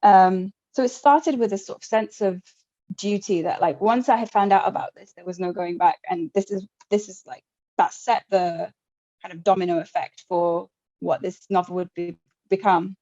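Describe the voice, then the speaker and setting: Mellow-voiced woman author, lo-fi audio. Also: medium pitch. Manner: measured reading, then halting, thoughtful speech. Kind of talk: reading from her novel, then interview answers.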